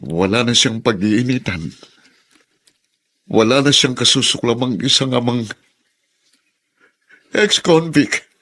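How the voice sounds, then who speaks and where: A man playing a middle-aged ex-convict father in a Filipino radio drama, halting, emotional and self-sacrificing as he pleads. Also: medium pitch, a bit bright. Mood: resigned, hurt.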